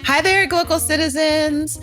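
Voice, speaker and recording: A woman hosting an interview podcast, bubbly and animated, who laughs easily with her guest. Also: high voice, measured pace, soft background music. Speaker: podcast host. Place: New York.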